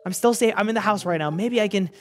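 A man hosting a game roleplay video, narrating in a panicky, excitable way with frantic exclamations. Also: high voice, fast. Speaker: gaming video host. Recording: faint background music.

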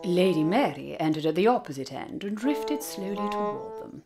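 A woman's voice: lowish voice, expressive, normal speed. A woman narrating a story in a theatrical, suspenseful storybook style. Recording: prominent music behind the voice.

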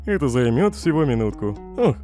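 A man's voice: mid-range voice, sing-song, brisk, warm tone, lightly acted. A man voicing a snarky, irritable skeleton scientist in a comic dub, sardonic and dismissive. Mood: casual, teasing. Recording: faint music behind the voice.

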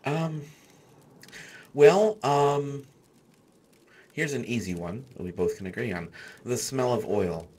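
A man playing a gentle, awkward human artificer in a fantasy audio roleplay, stammering and hesitant as he speaks. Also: mid-range voice, takes it slowly, pauses often, husky. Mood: awkward, friendly. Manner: stammering, hesitant, soft-spoken reassurance.

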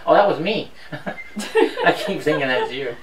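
A woman with a low voice chatting casually and teasing her friend while they play a video game. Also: unhurried, heavy background noise. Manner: playful teasing, lively exclamations. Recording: roomy, distant home video.

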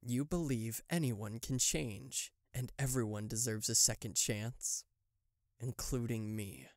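Smug, possessive demon tech boss played by a man, theatrical and condescending, unhurried delivery, dry close sound, for roleplay audio. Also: medium pitch, dry recording, lightly acted. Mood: subdued, vulnerable. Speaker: voice actor. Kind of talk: yandere ASMR roleplay addressed to the listener.

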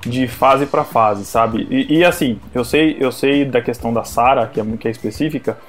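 A man co-hosting a casual podcast chat, animated and rambling, often restarting his sentences. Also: medium pitch, moderate pace, faint music behind. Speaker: podcast co-host.

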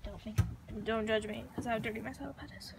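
A woman's voice: fairly high pitch, steady tone, fast talker. A young woman filming herself at an outdoor barn on a handheld phone, chatting to the camera and coaxing her horse with praise. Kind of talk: casual vlog narration. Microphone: phone held in hand while riding. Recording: noisy outdoor phone recording.

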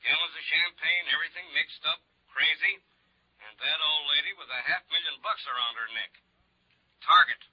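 A man's voice: fairly high pitch, moderate pace, husky, no room sound. An actor playing a worried insurance man in a 1950s radio drama, clipped and heard through a telephone filter. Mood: businesslike, worried. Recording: dull, dry telephone-like old radio sound.

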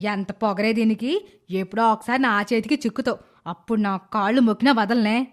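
A woman voicing a blunt, sharp-tongued, bossy village woman in an animated moral story. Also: on the high side, brisk, voice pushed down, natural voice. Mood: scolding. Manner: sharp-tongued rustic scolding.

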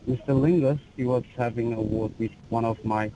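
A man posing as an officer on a phone call, talking formally and insistently with halting restarts. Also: mid-range voice, steady tone, dark tone.

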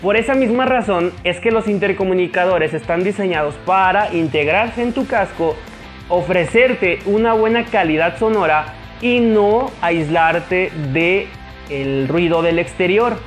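A man's voice: high voice, a little slow, faint music behind. A young man with a Mexican Spanish accent, eagerly hosting a product review and asking questions. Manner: upbeat, eager interviewer. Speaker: host. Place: motorcycle gear shop, indoors.

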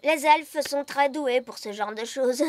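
A woman voicing an eager, brave young elf boy in a children's cartoon dub, earnest and quick to exclaim. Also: sits high, heavy background noise. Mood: modest. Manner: earnest, exclaiming, hesitant when unsure.

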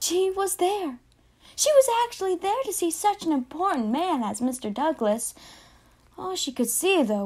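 Eager, wide-eyed old-time farm girl, played by a woman, high voice, for a storytelling piece. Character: excited little farm girl of long ago. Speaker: student performer. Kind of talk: dramatised oral-history monologue.

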